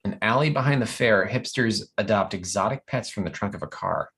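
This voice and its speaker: Low-voiced man reading stage directions. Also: brisk, vocal fry, a bit bright. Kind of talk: scripted narration at a comedy table read.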